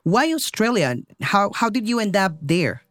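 A man with a rather high voice hosts the show as an English teacher. He sounds upbeat and keen as he welcomes and questions his guest. Faint music sits in the background. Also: sing-song, normal speed, a bit bright. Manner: upbeat and enthusiastic. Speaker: podcast host and English teacher. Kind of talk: hosting an interview.

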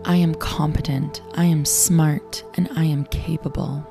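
A young woman with a raspy voice, hosting a show and reading affirmations slowly and soothingly. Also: sits low, steady tone. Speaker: affirmation and meditation host. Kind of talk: guided affirmations. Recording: clear music bed behind voice.

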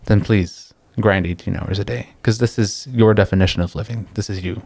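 A young man from the Philippines talks in a laid-back, thoughtful way, breaking off mid-sentence and chuckling now and then. His voice sits a little low. The recording is close and dry, with no room sound. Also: gravelly, dry recording. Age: young adult. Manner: relaxed and reflective, pausing mid-thought, laughing easily. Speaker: guest. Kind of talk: casual interview chat.

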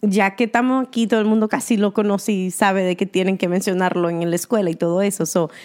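A woman's voice: medium pitch, fairly even intonation, fast talker, a little mellow. Woman, teacher, co-host, casual chat, mixes English words into Spanish.

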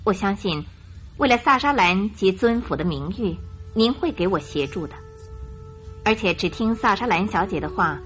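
A woman narrator telling a scripted detective story for a radio drama in an expressive storytelling style. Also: mid-range voice.

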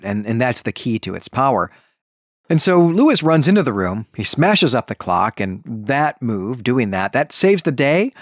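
A man's voice: medium pitch, dry recording, studio quality. A man hosting a podcast, talking through a book in a conversational, emphatic way and often checking in with the listener. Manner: emphatic, checks in with rhetorical "right?". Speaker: podcast host. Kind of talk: conversational book discussion and plot recap.

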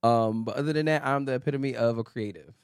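A man's voice: mid-range voice, fast talker, smooth, dry recording, clean studio sound. A man, co-hosting a faith podcast in a playful, laughing, easygoing chat. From New York. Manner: playful, hyped, loose and laughing. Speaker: podcast co-host. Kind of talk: casual chat.

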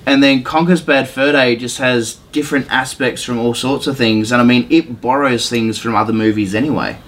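A man's voice: medium pitch, steady tone, normal speed, a bit bright. A man talking casually as a podcast co-host. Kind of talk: casual chat.

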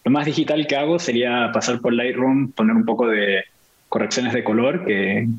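Man from Chile, guest on a video call, unhurried and reflective, slightly dull lo-fi audio. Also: mid-range voice, husky. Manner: relaxed, thoughtful, with many fillers.

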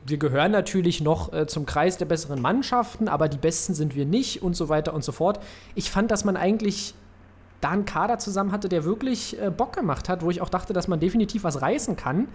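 A man's voice: high voice, fairly quick. A man co-hosting a podcast, talking football casually and hesitantly with many fillers. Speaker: podcast co-host.

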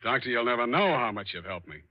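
An actor playing a brusque, wry American private detective in a 1940s radio drama, talking in blunt, clipped lines. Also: male voice, medium pitch, very animated, fast, husky, faint music behind. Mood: dry, wry. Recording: dull vintage 1940s radio recording.

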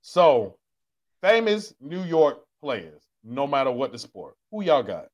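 Lively, argumentative young Southern man. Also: mid-range voice, slow. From South Georgia. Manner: argumentative, joking, repeats himself. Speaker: co-host. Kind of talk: casual sports chat.

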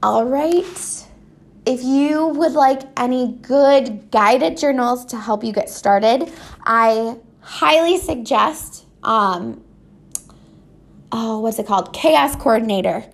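A woman's voice: on the high side, slow, vocal fry. A woman chatting casually and unscripted as a solo host, rambling informally, with a stuffy, slightly scratchy voice congested from allergies. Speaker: podcast host. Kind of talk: casual solo chat about personal habits.

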